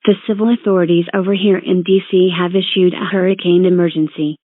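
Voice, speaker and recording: A woman reading a scripted emergency broadcast announcement in a formal, measured, synthetic-sounding way. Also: on the low side, steady tone, a little hiss or hum. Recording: narrow tinny phone-like band.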